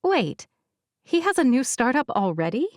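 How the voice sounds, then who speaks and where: A woman's voice: sits high, sing-song, normal speed, a little mellow, dry recording, voice pushed up. A woman voicing a dry, self-mocking young woman with a scarred face. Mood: wry.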